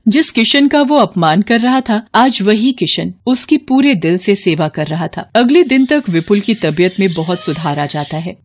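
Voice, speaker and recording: A narrator reading a scripted moral story in a measured storytelling style. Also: mid-range voice.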